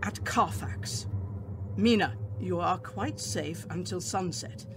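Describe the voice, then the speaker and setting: A man's voice: high voice, noisy background. A man playing a resolute, commanding professor who hunts vampires, in a radio drama. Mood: urgent resolve. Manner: deliberate, commanding. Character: resolute male professor and vampire hunter, authoritative.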